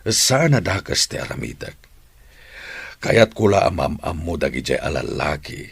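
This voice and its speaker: An actor playing a calm, shrewd rich older householder in an Ilocano radio drama, with a gravelly, husky voice and an Ilocano accent. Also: male voice, a bass voice, moderate pace, no echo, full character voice. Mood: calm, assured.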